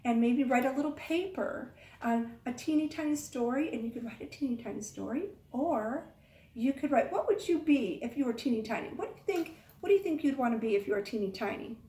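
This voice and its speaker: A woman's voice: high voice, lively intonation, fairly quick, dark tone. A woman teacher hosting storytime for young children, talking in a coaxing sing-song way and asking them questions. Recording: roomy echo, distant microphone.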